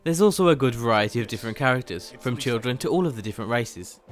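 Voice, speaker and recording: Male review host, mid-pitched scripted narration, soft music bed. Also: lively intonation, fast talker. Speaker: host of a game mod review channel. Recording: faint music behind the voice. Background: faint music.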